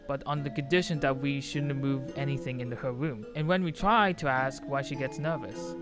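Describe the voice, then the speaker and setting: Higher-pitched man narrating over clear music. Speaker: video essayist narrator. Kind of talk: scripted narration with casual asides. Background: background music.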